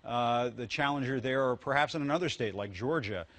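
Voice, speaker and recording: A man's voice: medium pitch, fairly quick, fairly bright tone. An American man in his forties, a news anchor putting questions in a broadcast interview. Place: TV news studio.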